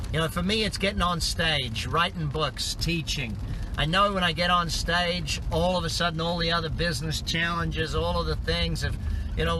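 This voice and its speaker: A middle-aged man from Australia, a business coach giving upbeat motivational tips in numbered points while driving. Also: fairly high pitch. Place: in a car on the road. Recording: car and road noise behind.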